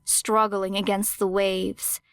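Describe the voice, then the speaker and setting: A woman reading scripted dramatic fiction as an audiobook narrator, measured and expressive. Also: mid-range voice, clear, resonant tone, dry recording.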